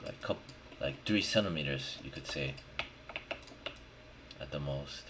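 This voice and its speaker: A man with an extremely low voice talks slowly through a 3D modeling tutorial. He thinks aloud in short, muttered, slangy fragments while he works. Clear background noise runs behind him, with typing and mouse clicks. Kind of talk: casual screen-recorded tutorial, thinking aloud while working.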